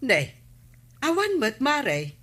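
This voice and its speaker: An actress with an Ilocano accent playing a suspicious, bossy village wife in a radio comedy drama, scolding with emphasis. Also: female voice, high-pitched, wildly swooping pitch, slow pace, a bit bright, exaggerated voice.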